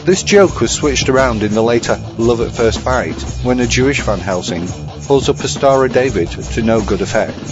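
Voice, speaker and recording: Clear background music plays under a slightly dull recording of a man. His voice is mid to low, delivering dry, bookish scripted commentary as a podcast presenter. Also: lively intonation, normal speed. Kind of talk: scripted film and book commentary.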